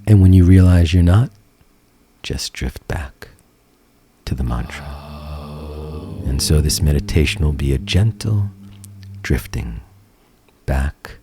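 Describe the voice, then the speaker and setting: A man guiding a meditation in a soothing, gentle manner, leaving long pauses, with soft, breathy phrase endings. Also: very deep voice, a little hiss or hum. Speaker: meditation teacher and guide. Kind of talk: guided meditation. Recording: calm music bed behind voice. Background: meditation music.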